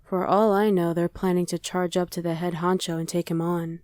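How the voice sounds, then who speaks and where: Casual young survivor played by a woman, ASMR drama, lowish mellow voice, very dry recording. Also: level tone, normal speed, no echo, natural voice. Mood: casual, explaining. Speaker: voice actor. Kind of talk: ASMR roleplay acting.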